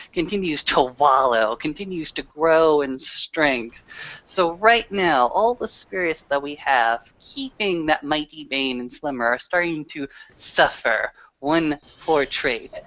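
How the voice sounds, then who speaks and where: Bright-voiced man roleplaying theatrically. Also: medium pitch, no room sound, highs cut off. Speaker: tabletop role-player. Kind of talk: dramatic in-character roleplay speech.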